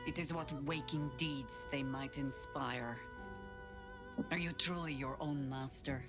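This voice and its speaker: A solemn female warrior in a fantasy game. Her voice is very low, grave and probing, set over clear music. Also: steady tone, measured pace. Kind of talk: video game acting.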